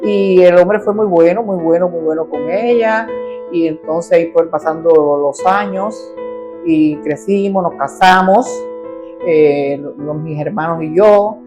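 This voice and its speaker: A middle-aged Cuban woman with a lower voice tells her life story as an interview guest. She is animated and acts out her family's voices as she goes. Faint guitar music plays behind her. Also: measured pace, highs cut off. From Cuba.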